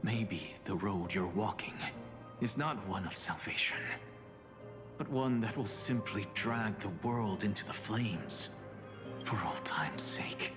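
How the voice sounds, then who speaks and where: An actor playing a stern, perceptive young warrior in a video game, grave, hushed and accusing in dramatic cutscene style. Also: male voice, lowish voice, expressive, slow pace. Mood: grave reproach. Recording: reverberant hall sound, music behind.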